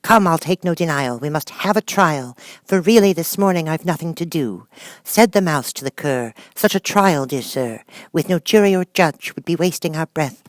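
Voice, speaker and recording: A man voicing a touchy, gloomy storybook Mouse with a stern, measured, declamatory delivery. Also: fairly high pitch, animated, dry recording, pitched above the performer's own voice, natural voice. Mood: declaiming.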